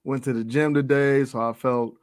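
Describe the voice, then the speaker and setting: A man's voice: mid-range voice, fairly even intonation, normal speed, no echo, clean studio sound. A man hosting a hip-hop interview show, chatting casually in a laid-back, slangy, agreeable way.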